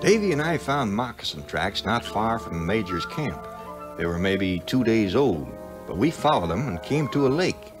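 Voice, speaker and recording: A man's voice: on the low side, moderate pace. A man playing a folksy frontier sidekick, telling his adventures with dry humor in a 1950s audio adventure. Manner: folksy, dryly humorous, animated. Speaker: narrator. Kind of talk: scripted first-person storytelling, 1950s audio adventure. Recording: 1950s record, music behind.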